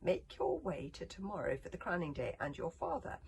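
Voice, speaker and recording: Lady playing a serious warrior mum in a storybook, firm n measured, bit of hiss behind. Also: lowish voice, huge pitch swings, creaky, exaggerated voice. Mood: firm, grave. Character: commanding warrior mother, grave and steady.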